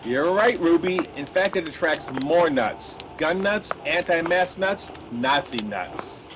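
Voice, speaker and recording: Man acting high-pitched, jittery meatpacking boss. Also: expressive, slow, noisy background. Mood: complaining. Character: jittery, callous male meatpacking boss named Rat. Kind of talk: satirical radio play acting.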